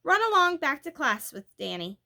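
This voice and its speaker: Woman acting a high, fussing, kindly school secretary. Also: sing-song, normal speed, creaky, pushed and bright, exaggerated voice. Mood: brisk, kindly.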